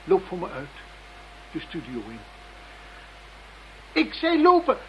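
An actor playing a coolly threatening, formal and deliberate masked blackmailer in a radio play. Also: mid-range voice, wildly swooping pitch, dull audio. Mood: controlled threat. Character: cold, polite, menacing masked blackmailer holding a captive. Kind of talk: Dutch radio play acting. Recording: noisy radio play recording.

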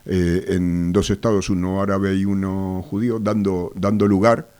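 A man from Argentina with an Argentine accent, a guest on a radio show, speaking with a cold in a measured, hesitant way, full of fillers. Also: sits low, little pitch movement, darkish tone, smooth. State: has a cold. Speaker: activist guest. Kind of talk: radio interview. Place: radio studio.

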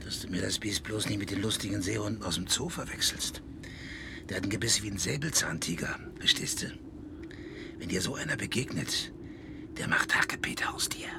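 An actor playing a gruff, blunt seaman in a radio play, teasing and mocking with salty sailor talk. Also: lowish voice, a little fast, whispered. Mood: menacing, teasing.

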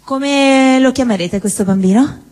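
Stage actress, high and animated, teasing, with a long hall reverb. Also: female voice, a little slow. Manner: chatty, teasing, bursts into excited shrieks. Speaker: stage actor. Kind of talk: live theatre dialogue. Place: theatre stage.

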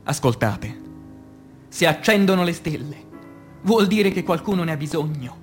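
Man, high voice, theatrical poetry recitation, dramatic pauses, music underneath. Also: animated. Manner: declamatory, dramatic pauses, shifting between hushed and emphatic.

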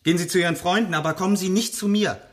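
Curt, stern gentleman scientist, played by a man. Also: high voice, fairly even intonation, very fast, lightly acted. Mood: cold refusal. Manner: curt, cold, formal. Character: stern gentleman scientist, indignant, coerced into complicity. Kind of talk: radio drama acting.

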